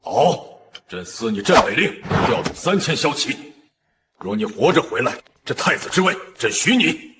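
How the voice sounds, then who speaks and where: An actor playing a stern, imposing older emperor in an animated fantasy drama, making solemn pronouncements. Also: male voice, medium pitch, normal speed, big-room sound, slight background noise. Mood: grave.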